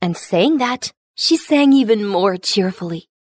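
A woman narrates a fairy tale for children. Her voice sits at a medium pitch and follows a script in storybook fashion. The recording is dry, with no room sound. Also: expressive, measured pace, no room sound.

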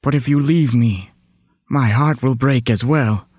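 Storybook beast, acted by a performer: gentle, lonely, slow and halting, mid-pitched, close dry sound. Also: dry recording, close to an everyday voice. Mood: tender, pleading. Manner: halting, hesitant, pleading. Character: lonely, gentle, self-doubting male beast in love. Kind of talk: children's fairy tale audio drama.

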